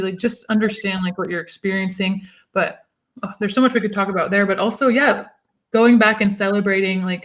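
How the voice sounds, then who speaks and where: A woman guest on a podcast, chatting casually and encouragingly, with frequent "like" fillers. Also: medium pitch.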